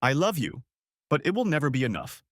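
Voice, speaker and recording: A man's voice: a little high, very animated, fairly quick, no room sound, clean studio sound, voice pushed up. A man voicing a distraught, overdosing young wife who pleads and sobs. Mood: anguished. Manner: sobbing, pleading, manic.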